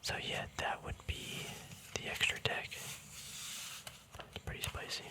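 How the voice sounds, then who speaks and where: A man speaking in a soft, breathy whisper in a casual, relaxed ASMR style, thinking aloud close to the microphone. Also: takes it slowly. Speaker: ASMR video creator. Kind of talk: whispered ASMR, casual rambling card showcase.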